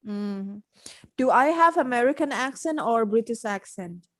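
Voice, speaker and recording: A woman hosts a casual podcast chat, speaking slowly and without hurry. Her voice sits a little high, and she states her views plainly and directly. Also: lively intonation, a bit bright. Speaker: podcast host. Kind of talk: casual conversation.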